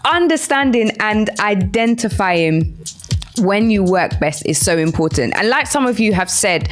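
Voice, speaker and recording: A woman hosting a live radio talk show, encouraging and explanatory, speaking directly to her listeners. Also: fairly low pitch. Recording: music playing behind the voice. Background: background music.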